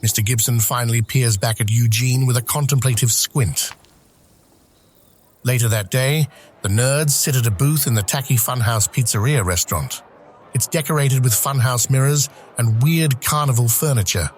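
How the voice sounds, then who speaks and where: A man's voice: mid-range voice, moderate pace, a little hiss or hum. A man narrating scripted stage action as the narrator of an audio drama.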